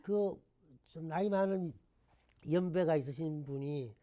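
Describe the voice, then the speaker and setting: An older man from the Gyeongsang region with a Gyeongsang accent, a guest telling stories about himself in a relaxed talk-show interview, with chuckles and self-deprecating humor. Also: on the high side, unhurried. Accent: Gyeongsang Korean. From Gyeongsang province, Korea. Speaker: guest, former education official.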